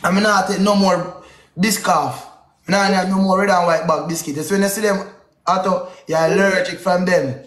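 A young Jamaican man with a high voice banters on a livestream. He talks emphatically and repeats his points, and the room around him sounds echoey. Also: moderate pace. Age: 20s. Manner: animated, emphatic, repeats himself. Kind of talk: casual bantering livestream chat. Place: indoors in a home living room. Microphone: phone or webcam close in front, split-screen live call.

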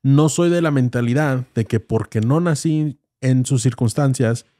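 A man's voice: mid-range voice, measured pace, dark tone, no echo, clean studio sound. Insistent Mexican man arguing hard. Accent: Mexican Spanish. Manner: insistent, argumentative, heavy slang. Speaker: podcast co-host. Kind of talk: heated casual debate. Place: small studio with foam panels. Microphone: studio mic on boom arm, headphones.

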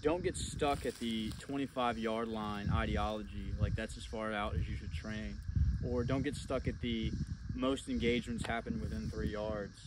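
A man's voice: on the low side, steady tone, normal speed, very noisy. A young man giving casual, blunt instructional talk to a camera outdoors, as a firearms instructor. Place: outdoors in a wooded clearing at a flat range.

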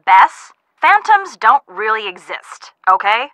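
A woman's voice: fairly high pitch, sing-song, slow, bright, forceful tone, no echo, exaggerated voice, poor audio. An actress with an American accent playing a sensible, sceptical, blunt young woman in a video game. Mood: dry, sceptical.

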